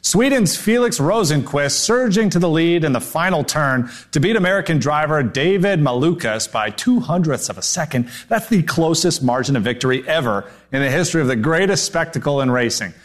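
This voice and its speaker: A man anchoring the news in a crisp scripted delivery. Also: fairly high pitch, expressive, a little fast. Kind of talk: news reading.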